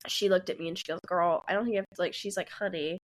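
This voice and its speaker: A woman's voice: fairly low pitch, fairly even intonation, fast. A woman in her twenties, a podcast co-host, telling a rambling story in casual chat and acting out what people said. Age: 20s. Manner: rambling, laughing, acts out quotes.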